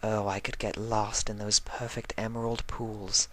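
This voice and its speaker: A man's voice: lowish voice, level tone, a little slow, pushed and bright. A man voicing a refined, dramatic female pony with dainty, genteel phrasing in a storybook reading. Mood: lovesick, self-chiding. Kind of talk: storybook character voice in fan fiction reading.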